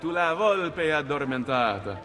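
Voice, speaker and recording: An actor playing a sly, assured master of thieves in a video game, courteous and knowing, with an Italian accent. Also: male voice, on the high side, sing-song, measured pace, a bit bright. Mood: welcoming, sly. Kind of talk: video game cutscene acting.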